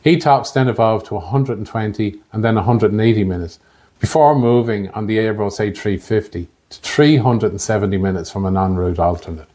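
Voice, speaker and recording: An Irish man in his late fifties narrating a scripted podcast in a measured, factual way. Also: on the low side, warm tone. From Ireland. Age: late 50s. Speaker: podcast host, air traffic controller.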